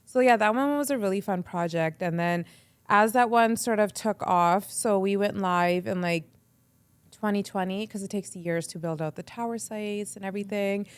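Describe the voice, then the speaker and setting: A young woman talking casually as a podcast guest. She speaks in a chatty, quick way, says "like" often, and acts out her own thoughts. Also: mid-range voice, clear, resonant tone, no echo. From Canada. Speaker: guest, radio entrepreneur.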